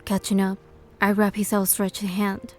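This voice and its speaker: Gentle young game heroine, mellow voice, woman acting. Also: medium pitch, monotone, measured pace, slight background noise, close to an everyday voice. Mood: tender, narrating. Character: gentle, curious young woman narrating her date. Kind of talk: scripted first-person game narration and dialogue.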